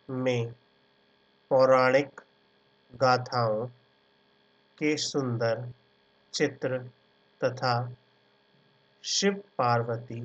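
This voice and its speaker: A man teaching an art exam class, dictating notes word by word and then explaining pictures in a casual way. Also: medium pitch. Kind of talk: slow dictated lecture over handwritten notes. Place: at a computer, screen recording. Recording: dull lo-fi sound with mains hum. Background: faint electrical hum.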